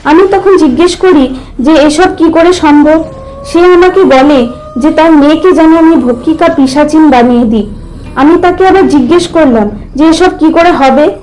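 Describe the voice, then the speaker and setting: Lady reading an old village holy grandpa in a cartoon tale, high voice, reassuring and explaining. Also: normal speed, darkish tone, lightly acted, poor audio. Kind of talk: animated story character dialogue.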